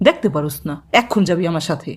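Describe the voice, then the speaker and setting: A woman's voice: low-pitched, animated, fast talker, slight background noise. A woman voicing a hot-tempered scolding mother. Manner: scolding, nagging. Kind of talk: cartoon voice acting.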